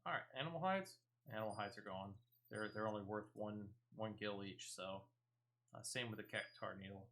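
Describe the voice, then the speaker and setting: A man's voice: on the low side, moderate pace, fairly bright tone. A man giving casual live gameplay commentary as a streamer, chatty and emphatic, often repeating phrases. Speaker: game streamer.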